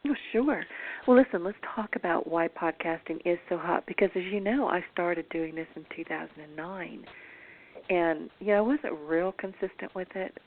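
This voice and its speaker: Hoarse chatty female radio host, tinny phone-line sound, laughs a lot. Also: lowish voice, normal speed, slight background noise. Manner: chatty, laughs often, dramatic asides. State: hoarse, voice in rough shape. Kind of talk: interview radio chat.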